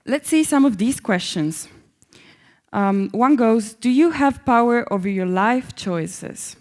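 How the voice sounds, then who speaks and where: A woman's voice: a little high, expressive, slow pace, darkish tone. A young woman reading the part of an independent-minded, hopeful Iranian woman in a staged play reading. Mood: matter-of-fact. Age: young adult.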